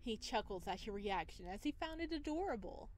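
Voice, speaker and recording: A woman doing casual, excitable let's play commentary and reading a visual novel aloud. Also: fairly high pitch, a little fast, slight background noise. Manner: expressive, excitable. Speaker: gaming video creator.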